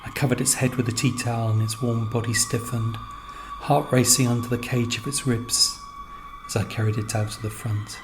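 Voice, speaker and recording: A man reading scripted literary narration as a storyteller, in measured, deliberate phrases with pauses. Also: mid-range voice, fairly even intonation. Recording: music under the voice. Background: music.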